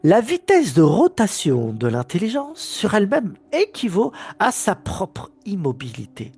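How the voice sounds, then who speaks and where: Husky man with a head cold, animated. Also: high-pitched, measured pace. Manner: emphatic, animated, restarts phrases often. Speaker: spiritual teacher. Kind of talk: recorded video lecture.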